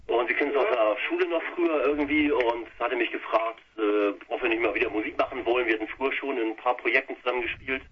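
A man from Lower Saxony, a band guitarist giving a rambling, colloquial interview by phone. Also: quick pace, few pauses. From Lower Saxony, Germany. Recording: muffled, noisy telephone line on radio.

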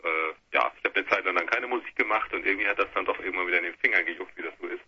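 A man from Hamburg with a rough, husky voice, chatting casually as a band's guitarist on the phone. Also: low voice, very fast. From Hamburg, Germany. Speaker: guitarist and band leader. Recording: muffled telephone interview on radio.

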